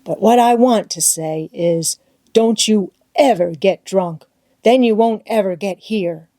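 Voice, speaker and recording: A humble old jailed drunkard from a storybook, voiced by a woman reader. The voice is mellow and mid-pitched, rambling in rustic dialect with gratitude and remorse, in a dry close recording. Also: takes it slowly, no echo, close to an everyday voice. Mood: remorseful, humble. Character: Muff Potter, grateful, remorseful old drunkard prisoner.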